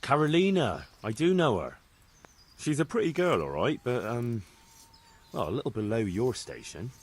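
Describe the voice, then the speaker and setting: A wry young squire, dry teasing delivery, lively pitch, mid voice. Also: male voice, moderate pace, breathy edge. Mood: hesitant. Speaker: game character. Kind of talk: video game cutscene acting.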